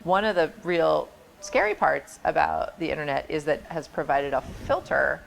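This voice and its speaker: A woman's voice: sits low, normal speed, gravelly, slight background noise, low-quality recording. A woman being interviewed as a label president, explaining things informally in a plainspoken, emphatic and wry way.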